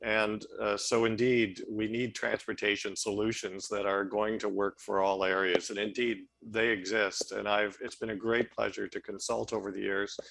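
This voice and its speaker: A man who serves as a party transportation critic, speaking thoughtfully and a little haltingly in a roundtable discussion on a video call. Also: on the low side, flat delivery, creaky, a bit bright, dry recording, rough recording.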